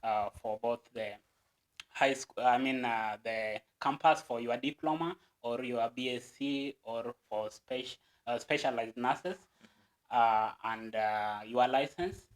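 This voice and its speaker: A man's voice: medium pitch, steady tone, slow, poor audio. A Kenyan man, a guest, explaining a process earnestly and at length, with stammered restarts.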